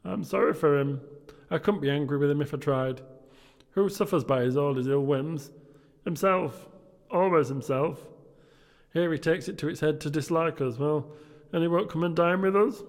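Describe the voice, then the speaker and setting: A man voicing a jolly, laughing young gentleman, hearty and emphatic, as a comic storybook character. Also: on the high side, moderate pace, pitched above the performer's own voice, lightly acted. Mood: pitying, cheerful. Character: jolly, laughing young gentleman, Scrooge's good-natured nephew. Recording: long hall-like reverb.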